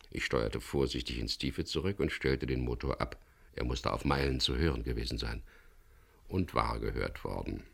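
A weary sea skipper with an extremely low, creaky voice. He tells his story drily and with measured irony, as in a 1970s crime radio play. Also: fairly bright tone, full character voice. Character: wry, weary British boat skipper narrating his adventure. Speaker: first-person narrator and lead character.

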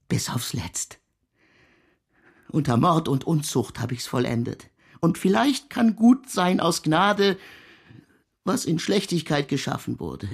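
An actor playing a guilt-ridden, doomed composer who solemnly confesses in halting, archaic words, with a husky voice, in a radio drama. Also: male voice, a little high. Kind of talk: radio drama acting, archaic German monologue.

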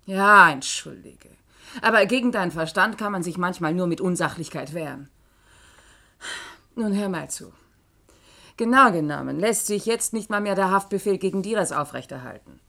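An outspoken female prosecutor in a radio play. Her voice is bright and cutting, and she talks bluntly, with familiar sarcasm. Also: lowish voice, lively intonation, normal speed. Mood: frank, urgent. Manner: blunt, sharp-tongued, familiar. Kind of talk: German radio play acting.

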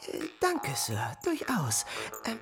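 An actress playing a humble, elderly, sentimental widow in a radio drama, with a hesitant, theatrical delivery. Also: female voice, fairly high pitch, wildly swooping pitch, slow, exaggerated voice. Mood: anxious. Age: older. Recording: music behind the voice.

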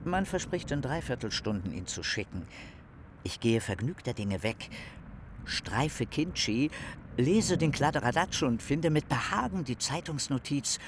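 An actor playing an eccentric, bookish young philosopher with a wry, self-important theatrical manner in a radio play. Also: male voice, medium pitch, animated, unhurried, background noise. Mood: excited, polite. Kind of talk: dramatic reading of letters in radio play.

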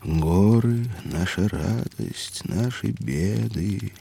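An actor playing a hearty, hospitable mountaineer in an audio drama for children, speaking expressively. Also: male voice, fairly low pitch, slow, vocal fry, warm tone, a little hiss or hum. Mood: wistful, reciting. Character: hearty hospitable mountaineer by a campfire below Everest. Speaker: mountaineer character.

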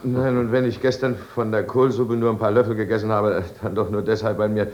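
Deep voiced man acting a nervy middle-aged drinker, old german radio play, careful wording, always justifying himself. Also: level tone, brisk, a little mellow, highs cut off, close to an everyday voice. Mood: nervous, defensive. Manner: careful diction, hesitant and self-justifying. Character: anxious, evasive middle-aged alcoholic businessman confined in an asylum. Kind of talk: 1950s German radio drama acting and narration.

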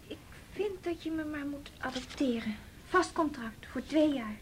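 Wistful schoolgirl, high soft voice, playful, intimate radio play, clearly noisy background. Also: animated, normal speed. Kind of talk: intimate radio drama acting.

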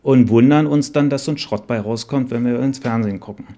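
A man's voice: medium pitch, a little fast, mellow. A man from Germany giving casual, rambling, somewhat hesitant explanations as an interviewee. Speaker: interviewee on Berlin tunnel history.

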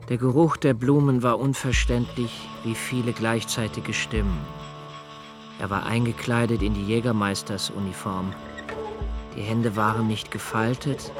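An actor playing a melancholy, observant young man, narrating a literary radio drama in a measured, introspective way. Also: on the low side, natural voice. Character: melancholy, observant young man recalling his father's death.

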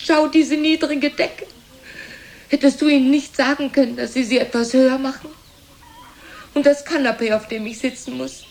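A high-voiced old mother with a creaky edge, full of self-pity and reproach. She laments theatrically, as in a radio play, dramatic and plaintive. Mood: complaining. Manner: plaintive, dramatic, repetitive lamenting with swings in loudness. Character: aging, self-pitying, reproachful mother and travelling theatre woman.

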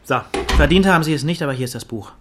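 A man playing a sardonic, burned-out poet in a German radio play, speaking in a dry, gruff, terse way. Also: on the high side, racing, noisy background, close to an everyday voice. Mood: dry, curt.

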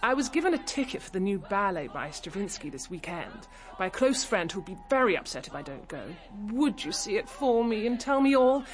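A woman playing a rebellious, sharp-tongued young suffragette in a radio drama, mocking and challenging. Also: medium pitch, big pitch swings, a little fast, hardly any pauses, natural voice, poor audio. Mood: coaxing. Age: young adult.

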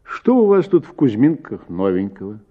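A man's voice: mid-range voice, very animated, normal speed. A man voicing a polite, businesslike visitor from the city in a radio story, in a courteous conversational way. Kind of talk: acted dialogue in radio story reading. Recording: narrow-band, phone-like sound.

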